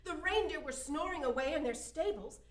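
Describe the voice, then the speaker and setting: Actress as theatrical older Santa's wife, motherly, high-pitched, radio play, distant roomy recording. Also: animated. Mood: worried. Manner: storytelling, measured, fond of children. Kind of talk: amateur radio drama acting. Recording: roomy echo, off mic.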